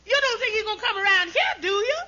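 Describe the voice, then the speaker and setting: A woman's voice: extremely high pitch, quick pace, sharp tone. A woman playing an easily panicked, bossy visiting mother in a 1940s radio sitcom, fretful and exclaiming in comic dialect. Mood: alarmed. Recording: dull, very noisy old radio recording.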